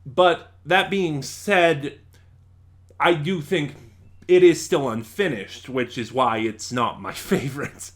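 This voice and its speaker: A man giving a casual, enthusiastic review in a ranking countdown, speaking haltingly with emphatic stresses. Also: on the high side, a bit bright. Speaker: reviewer and channel host.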